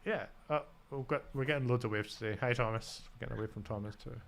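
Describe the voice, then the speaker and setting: A man with a mild Scottish accent hosts a live-coding session over a video call. His voice has a gravelly, fry-tinged edge, and he thinks out loud with easy self-deprecation. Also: mid-range voice, fast talker, slight background noise. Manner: self-deprecating, thinks aloud while typing. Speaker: host. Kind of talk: casual live-coding chat.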